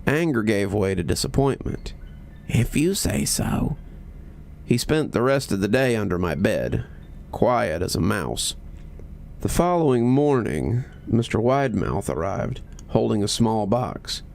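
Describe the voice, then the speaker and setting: A man reads a horror story as a dramatic narrator. His pitch moves in lively swings, and a creaky, fried edge runs through the voice. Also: measured pace.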